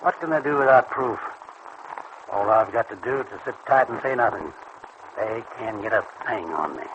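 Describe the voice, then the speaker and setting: An actor in an old radio serial playing a stubborn small-time bootlegging shopkeeper who talks in blunt, folksy slang. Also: male voice, fairly low pitch, expressive, moderate pace, lightly acted. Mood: stubbornly defiant. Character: stubborn small-time male bootlegging sweet-shop keeper called Pop. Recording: muffled, faintly noisy old radio recording.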